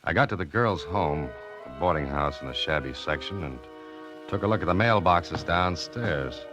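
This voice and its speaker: Very deep, hardboiled radio detective. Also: male voice, normal speed. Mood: terse, determined. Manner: terse, hardboiled, deadpan. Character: tough, dogged male amateur sleuth, ex-newspaperman, narrating his case. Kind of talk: 1940s radio detective drama acting and hardboiled narration. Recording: muffled vintage radio recording with music underneath.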